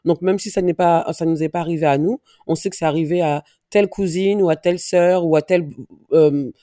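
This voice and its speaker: French woman being interviewed, mellow voice, stops and restarts a lot, dry close sound. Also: fairly low pitch, fairly even intonation, no room sound. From France. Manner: halting, searches for words, many restarts. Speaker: interview guest, dance teacher. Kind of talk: personal testimony in an interview.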